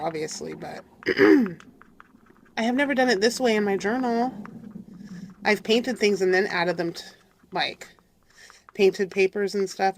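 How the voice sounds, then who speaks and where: A middle-aged woman with a raspy, congested voice from a cold, chatting casually to viewers as she works through a craft tutorial and keeps apologizing. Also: medium pitch, slight background noise. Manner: chatty, apologetic, talks to viewers while working. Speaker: craft channel host.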